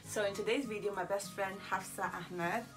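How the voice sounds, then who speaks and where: A woman's voice: medium pitch, fairly even intonation, normal speed, a bit bright, far from the mic, faint music behind. A young woman from Italy chatting casually in a vlog, rambling with lots of fillers and restarts, sitting in a small room. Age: young adult. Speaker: YouTuber. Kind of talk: casual get-to-know-me chat.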